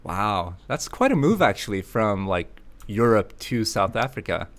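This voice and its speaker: A man's voice: on the low side, sing-song, moderate pace, a bit bright. A man from Sweden hosting a casual livestream, chatty and playful, often laughing as he talks to viewers. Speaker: livestream host.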